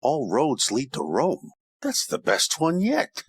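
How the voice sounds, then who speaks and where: A man playing a hardboiled, wisecracking detective of ancient Rome in an old-time radio parody, with deadpan tough-guy delivery. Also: a little high, slow, breathy edge, no echo, studio quality, full character voice. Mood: wry.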